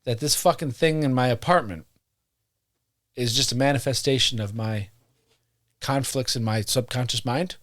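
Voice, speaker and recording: A man's voice: mid-range voice, moderate pace, pauses often, a bit bright, slight background noise. A man co-hosting a podcast, reading a strange story aloud in a jokey, profane way and stopping to correct himself. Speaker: podcast co-host.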